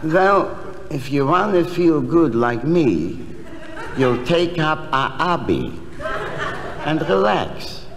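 A smug, unbothered man in a sixties comedy sketch. He speaks slowly and matter-of-factly, in a reverberant hall with clear noise. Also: fairly high pitch, sing-song, heavily acted. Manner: matter-of-fact, self-satisfied. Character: smug, callous, relaxed man with an absurd hobby. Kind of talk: 1960s comedy album sketch acting. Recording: echoey, noisy hall with audience.

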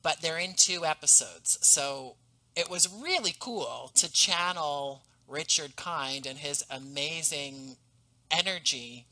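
A man in his thirties speaks over a headset on a video call from home, telling stories with eager enthusiasm. His voice is bright and forward. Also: fairly high pitch, slow, poor audio. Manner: animated, enthusiastic storytelling. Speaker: podcast guest, audio drama creator. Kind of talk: casual interview. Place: home room, indoors.

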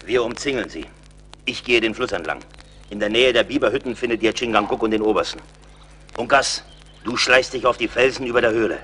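An actor playing a fierce, bold frontier fighter in an adventure radio play. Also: male voice, fairly low pitch, brisk, sharp tone, slight background noise. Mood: commanding. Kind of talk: dramatic German radio play acting.

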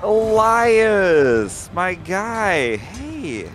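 A man's voice: high voice, very animated, very slow. A man giving casual, playful commentary on a co-op game and talking back to it. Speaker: gaming streamer. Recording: game music behind the voice. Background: game music and sounds.

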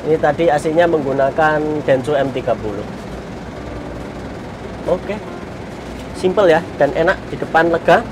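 A man's voice: a little high, unhurried, long pauses. A man in his thirties casually showing off a minibus outdoors, chatting to his viewers as friends. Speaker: vlogger. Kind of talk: casual walkaround vlog. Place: outdoor car show parking lot. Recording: outdoor noise behind voice.

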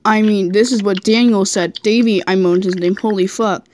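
A woman's voice: mid-range voice, fairly even intonation, normal speed, a little mellow, slight background noise. A woman reading fan fiction aloud casually as an amateur, giggly and stumbling over the text. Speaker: fan fiction reader, channel host.

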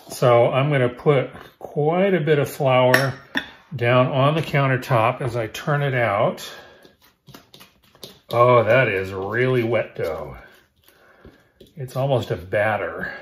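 A man in his fifties hosting a home cooking show, explaining each step in a relaxed way as he works in his kitchen. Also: medium pitch. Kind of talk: casual cooking demonstration.

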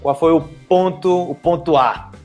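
Young brazilian guy on an earphone mic, kinda high voice, rambling questions, faint music behind. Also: lively intonation, unhurried, a little mellow, dull audio. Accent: Brazilian Portuguese. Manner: talkative, asks long rambling questions. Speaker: host, Portuguese teacher. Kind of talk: casual interview. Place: small room at home. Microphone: earphone headset on video call.

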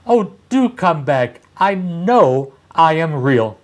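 A man's voice: on the high side, sing-song, takes it slowly, voice pushed up. A man voicing a lonely little toy rabbit in a storybook, pleading and wistful in a plaintive way.

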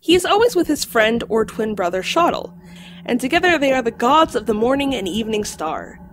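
A woman voicing a learned talking dog in an audio drama, lecturing precisely and calmly on lore. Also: fairly high pitch, brisk, a little hiss or hum. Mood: lecturing, composed. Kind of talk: audio drama acting, explanatory storytelling.

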